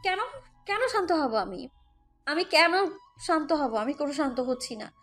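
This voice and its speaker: A woman's voice: high-pitched, expressive, normal speed, fairly bright tone. A woman playing a hurt, defiant young mother who narrates her own romantic audio story, with an emotional, scolding manner. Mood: angry, accusing. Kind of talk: first-person Bengali audio story narration and dialogue. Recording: music bed behind the voice.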